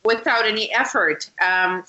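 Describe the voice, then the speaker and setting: A woman being interviewed, telling her story in an animated, chatty way. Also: mid-range voice, measured pace, dull audio. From Canada. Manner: animated, chatty, with frequent restarts and laughs. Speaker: guest, matchmaker.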